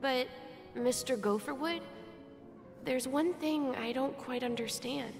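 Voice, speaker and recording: A woman playing a solemn, earnest young idealist in a game, speaking in a measured, pensive way. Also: high voice. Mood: pensive, doubting. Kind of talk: dramatic game voice acting. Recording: long hall reverb, music behind.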